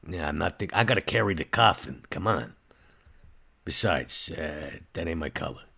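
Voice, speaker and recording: A man puts on a hard-boiled vampire tough guy for a tabletop game. The voice is extremely deep, gravelly and husky. He talks in blunt street slang. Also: animated, moderate pace, bright, forceful tone, full character voice. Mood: gruff, wry.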